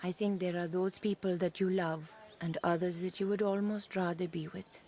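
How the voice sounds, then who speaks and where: A woman's voice: fairly low pitch, fairly even intonation, normal speed, warm tone, a little hiss or hum, close to an everyday voice. A woman acting in a radio play as an anxious, proud wife and mother with a secret, emotive yet guarded. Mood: confiding, soft. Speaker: actress in a radio drama.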